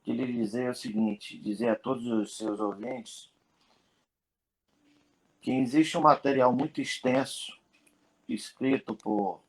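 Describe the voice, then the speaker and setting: A man's voice: medium pitch, fairly even intonation, low-quality recording. A man giving a careful, earnest statement as an interview guest. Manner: earnest, deliberate. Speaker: guest, family member.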